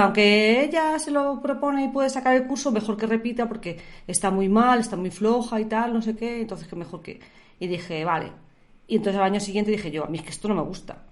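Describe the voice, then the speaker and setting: A talkative middle-aged woman with a Spanish accent, telling her story in a relaxed, colloquial way. Her voice sits at an ordinary middle pitch for a woman, and she laughs easily between stories. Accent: Spain. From Spain. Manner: chatty, laughs often, colloquial. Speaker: podcast guest. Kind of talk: casual conversational storytelling.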